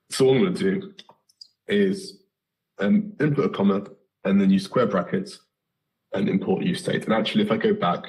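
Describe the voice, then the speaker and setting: A British man with a very low voice, hoarse and slightly nasal as he gets over the flu. He walks through a coding lesson in a relaxed way, filling pauses with ums and correcting himself as he goes. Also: poor audio. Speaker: coding instructor. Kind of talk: live coding tutorial.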